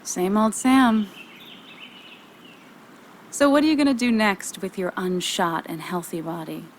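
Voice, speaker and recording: A woman's voice: mid-range voice, measured pace, slight background noise, lightly acted. An actress playing a wry, teasing woman in a video game, with playful, dry banter. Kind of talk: naturalistic video game acting.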